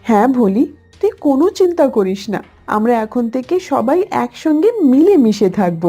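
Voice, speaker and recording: A woman voicing a kindly old mother cow in a cartoon, husky, tender and self-sacrificing. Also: fairly high pitch, sing-song, normal speed, dark tone, faint music behind. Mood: gentle, reassuring. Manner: tender, pleading.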